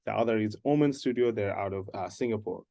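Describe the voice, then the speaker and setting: Bangladeshi-born guy on an interview, mellow voice, tells stories with lots of jokes. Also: fairly low pitch, fast talker, creaky. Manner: animated, jokey storytelling with laughs. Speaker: guest, animation production supervisor and writer-director. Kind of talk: casual interview talk.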